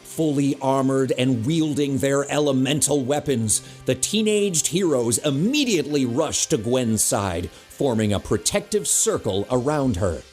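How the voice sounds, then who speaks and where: A man's voice: medium pitch, expressive, unhurried, few pauses, darkish tone, soft background music. A man narrating a superhero audio drama in a dramatic, scripted style.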